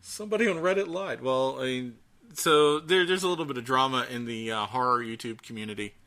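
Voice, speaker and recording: A man co-hosting a casual podcast, talking in a chatty, halting way. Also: medium pitch, pushed and bright. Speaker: podcast co-host.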